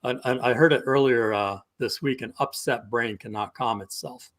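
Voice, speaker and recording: A man's voice: medium pitch, normal speed, fairly bright tone. A middle-aged man speaks in a mid-range voice as a coach on a live video call. His delivery is conversational and explanatory, the way someone walks listeners through examples. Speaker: couples coach. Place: indoor room, video call.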